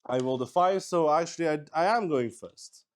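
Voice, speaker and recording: A man improvising narration as he plays a solo tabletop roleplaying game, thinking aloud in a halting way. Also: on the high side. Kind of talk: improvised game narration while rolling dice.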